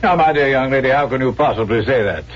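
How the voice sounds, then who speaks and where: A man's voice: mid-range voice, fast talker, highs cut off, lightly acted. A man playing a suave swindler with a stagey English accent and courtly manners in a 1970s radio drama. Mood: guarded. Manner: courtly, mannered, smooth-talking. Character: suave male swindler posing as an English business agent. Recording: band-limited vintage radio broadcast with music.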